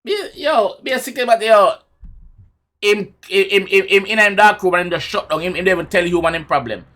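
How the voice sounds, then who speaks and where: A man's voice: high-pitched, brisk, fairly bright tone, a little hiss or hum. A man with a Jamaican accent giving casual, emphatic music reaction commentary in Patois, often repeating his phrases. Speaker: YouTube reaction host.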